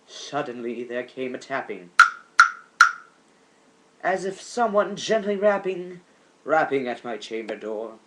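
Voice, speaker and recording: A man with a fairly high voice reads poetry as an amateur performer. His delivery is slow and theatrical, with drawn-out words. He shifts between hushed tones and loud, emphatic ones. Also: low-quality recording. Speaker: amateur reader of a poem. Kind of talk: dramatic poetry reading.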